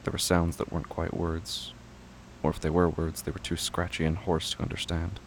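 A man narrating a scripted horror audio drama in short, deliberate, clipped fragments. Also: sits low, little pitch movement, slight background noise.

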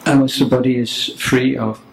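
A man teaching guided meditation, speaking calmly and deliberately, leaving long pauses between phrases. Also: medium pitch, creaky. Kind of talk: spoken guided meditation instruction. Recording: roomy, echoing, faint background noise.

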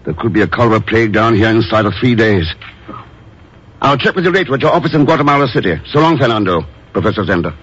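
An actor playing a cool, shrewd secret agent in a 1950s radio drama, with clipped, dry delivery. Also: male voice, on the low side, sing-song, fast. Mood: cool, probing. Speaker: lead actor. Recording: muffled, noisy old radio broadcast.

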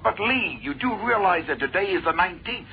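An actor playing an anxious, cautious government colleague in a radio drama. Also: male voice, high-pitched, lively intonation, fairly quick. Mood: worried. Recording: phone-like old radio recording.

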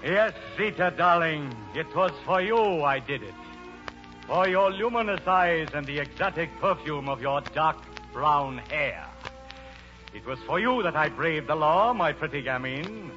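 A flamboyant screen lover in old radio style, florid and ardently theatrical. His highish voice sits over faint music on a dull recording. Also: animated, unhurried, pushed and bright. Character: flamboyant, melodramatic, romantic male matinee idol. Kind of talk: 1940s radio acting.

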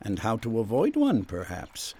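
An actor in a radio drama playing a patient, gently persistent psychiatrist questioning someone. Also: male voice, fairly low pitch, wildly swooping pitch, normal speed, slightly rough, heavily acted. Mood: probing, persistent.

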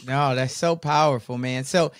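A man's voice: mid-range voice, fairly even intonation, normal speed, clear, resonant tone. A man hosting a podcast interview, enthusiastic and encouraging in a casual way. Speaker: podcast host.

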